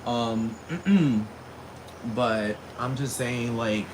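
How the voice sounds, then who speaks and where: A man's voice: mid-range voice, fairly even intonation, extremely slow. Puerto rican guy going off, swears a lot, um and like everywhere, noisy mic with crickets chirping. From Puerto Rico. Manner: rambling, profane, full of fillers. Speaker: vlogger. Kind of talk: casual rant. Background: crickets and insects.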